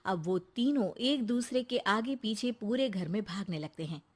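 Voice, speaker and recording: A woman narrates a cartoon story in an even, scripted storytelling manner. Her voice sits at an ordinary middle pitch. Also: moderate pace.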